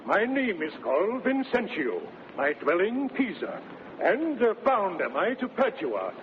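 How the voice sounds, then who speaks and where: Brief: an elderly gentleman traveller, puzzled and hesitant, high and lilting, muffled vintage radio drama. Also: wildly swooping pitch, unhurried, heavily acted. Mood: puzzled, polite. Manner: hesitant, polite. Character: bewildered, courteous old gentleman traveller. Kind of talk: 1930s radio Shakespeare acting. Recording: dull, noisy vintage 1930s radio recording.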